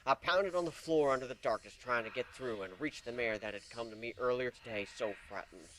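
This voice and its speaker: A man giving a dramatic reading of a story, hushed and suspenseful. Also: mid-range voice, a little fast, a little hiss or hum, low-quality recording. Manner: hushed and suspenseful, rising to shouts in tense moments. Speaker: reader. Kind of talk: dramatic reading of fan fiction.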